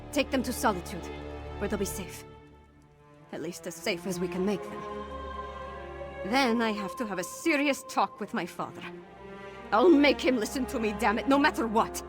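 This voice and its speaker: Actress as a highish-voiced, headstrong young princess. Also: female voice, natural voice. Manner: earnest, emphatic, dramatic. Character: determined, impassioned young princess, loyal and headstrong. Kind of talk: fantasy video game voice acting. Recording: prominent music behind the voice.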